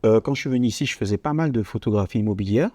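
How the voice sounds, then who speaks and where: A man's voice: lowish voice, racing, a little mellow, no echo. A man talking as a guest, explaining his work conversationally and repeating phrases for emphasis. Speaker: podcast guest, coach.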